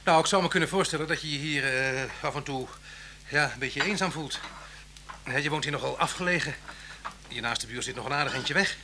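A man's voice: on the high side, fairly quick, close to an everyday voice. An actor playing a polite but uneasy man visiting someone, reserved and hesitant, in a naturalistic radio drama. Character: guarded, polite male guest, evasive and uneasy. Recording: noisy old radio drama recording.

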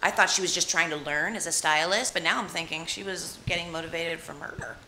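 A woman's voice: on the low side, steady tone, fairly quick, sharp tone, echoey room, a little hiss or hum, low-quality recording. A young woman doing improvised comedy as a salon stylist, chatty and indignant. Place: indoor salon.

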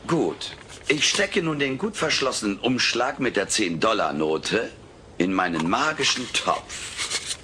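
A man's voice: lowish voice, big pitch swings, takes it slowly. A man voicing a cheeky furry alien who boasts as an amateur magician in showy, pompous patter, in a comedic radio play. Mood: showy, boastful. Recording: noisy old cassette transfer.

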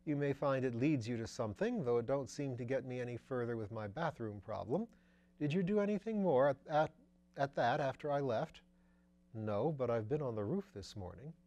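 A jaunty young aristocrat, played by a man reading a storybook whose lines float up high and light. He sounds flippant and pleased with himself, tossing off airy upper-class banter. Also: animated, moderate pace, a little mellow, natural voice. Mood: breezy.

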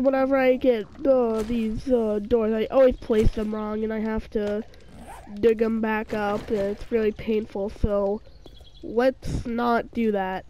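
A woman's voice: on the high side, mellow, slight background noise. A woman talking over her survival game while sick, rambling with lots of fillers and restarts. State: sick, voice sounds off. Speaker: gaming channel host. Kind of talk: casual let's-play commentary.

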